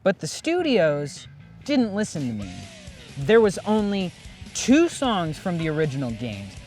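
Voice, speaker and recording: A man's voice: high-pitched, huge pitch swings, unhurried, a little mellow, soft background music. A man co-hosting in a playful, exaggerated, casual comic style. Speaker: co-host.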